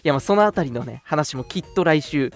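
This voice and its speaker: A man's voice: a little high, animated, a little fast, soft background music. A young man hosting a casual radio show, chatting politely with some self-deprecation. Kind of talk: casual radio chat and announcements.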